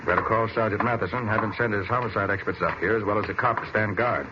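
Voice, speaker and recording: A man playing a brisk, commanding private detective in an old-time radio mystery, speaking in a clipped, decisive way. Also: fairly low pitch, natural voice. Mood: firm, businesslike. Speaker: actor in a radio detective drama. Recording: muffled, noisy vintage radio recording.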